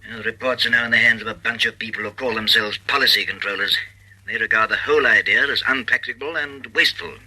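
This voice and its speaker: A man playing a brisk, wry upper-class English senior official in a vintage radio drama, clipped and avuncular. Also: on the low side, bright, forceful tone, breathy edge, exaggerated voice, low-quality recording. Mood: rueful, wry.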